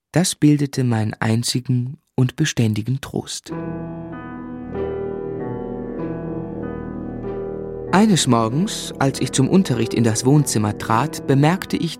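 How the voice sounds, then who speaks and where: An actor playing a reflective grown man who narrates his unhappy childhood in a German radio play, measured and grave. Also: medium pitch, a little mellow, lightly acted.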